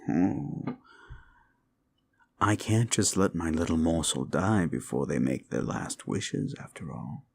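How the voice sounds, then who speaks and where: A man's voice: extremely low pitch, lively intonation, takes it slowly, creaky, darkish tone, slightly rough, exaggerated voice. A man voicing a sly, seductive demon who hungers for suffering, in an intimate, teasing and possessive ASMR roleplay murmur.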